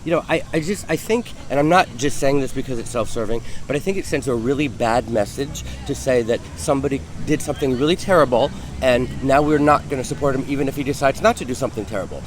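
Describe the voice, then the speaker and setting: A man co-hosts a casual chat in a city park. He giggles often and drifts off easily, and his voice sits at an ordinary middle pitch. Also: fast, hardly any pauses. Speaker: co-host. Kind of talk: casual podcast chat outdoors.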